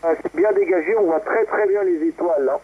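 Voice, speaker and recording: A man's voice: high-pitched, fairly quick, slightly rough. A man chatting casually as he leads an amateur radio round, heard over a radio transmission. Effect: two-way radio transmission. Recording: muffled, hissy amateur radio reception.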